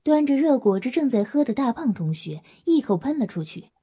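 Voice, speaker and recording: A woman's voice: high voice, very animated, a little fast, mellow, husky. A woman reading scripted story narration for an audio drama.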